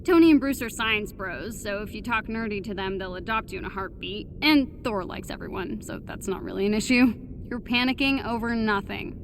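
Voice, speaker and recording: A woman playing an upbeat young American heroine in a video game, chatting casually and reassuring a friend with gentle teasing. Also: mid-range voice, normal speed, slight background noise, close to an everyday voice. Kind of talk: naturalistic game voice acting, casual chat.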